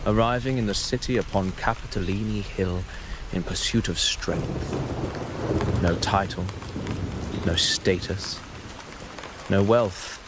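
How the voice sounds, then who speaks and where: An actor playing a humble male storyteller poet in a video game, speaking in a measured, poetic, declamatory way. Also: deep voice, background noise. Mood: solemn. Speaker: game character. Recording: game audio with loud rain. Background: steady rain.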